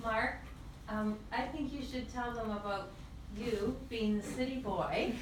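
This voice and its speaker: Woman, higher voice, encouraging prompts, very echoey, distant. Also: unhurried, very noisy. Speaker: audience member prompting the speaker. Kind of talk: casual prompting. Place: parlor of an old house, indoors. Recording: very echoey room, distant phone recording.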